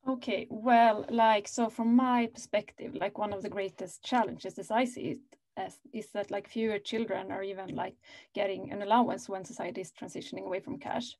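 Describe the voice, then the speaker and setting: A woman's voice: medium pitch, fairly even intonation, normal speed, darkish tone, no room sound. A woman in her thirties answering a panel question over a laptop video call, earnest and full of "like" fillers. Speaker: panelist, company operations head.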